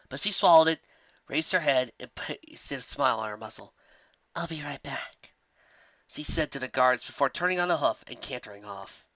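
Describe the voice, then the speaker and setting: An amateur narrator reading scripted fantasy fan fiction in an expressive storytelling manner. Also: mid-range voice, no room sound, lo-fi sound.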